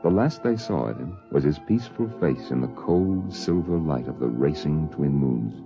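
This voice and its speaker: An actor as a grave literary storyteller, narrating a 1950s radio drama in a measured, solemn manner. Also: male voice, extremely low pitch, animated, faint music behind. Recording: dull vintage 1950s radio recording.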